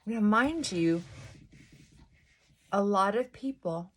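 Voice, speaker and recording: A middle-aged woman vlogging casually while doing her makeup, reflective and confessional, with a fairly high voice she deliberately pulls down and soft whispered asides. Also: expressive. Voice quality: fairly high-pitched voice she works to pull down. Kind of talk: casual get-ready-with-me chat.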